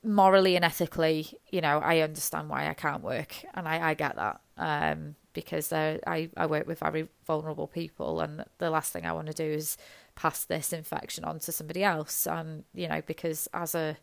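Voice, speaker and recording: Woman, hoarse and gravelly, flat low voice, halting and rambling, dry close sound. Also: dry recording. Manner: halting, rambling, self-deprecating. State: hoarse from illness. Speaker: nurse. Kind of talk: reflective personal monologue.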